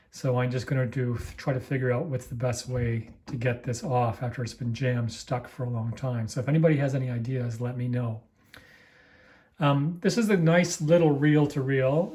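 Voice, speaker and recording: A man casually demonstrating equipment off the cuff, explaining it in a halting, apologetic, easygoing way as he handles it. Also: mid-range voice, darkish tone. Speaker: amateur reel-to-reel demonstrator. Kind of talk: casual unscripted product walkthrough.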